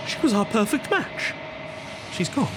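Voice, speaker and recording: An actor playing a lovestruck, melodramatic inner voice in a visual novel, passionately protective, with theatrical delivery. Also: male voice, high-pitched, sing-song, measured pace, noisy background. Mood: anguished. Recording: loud music behind the voice.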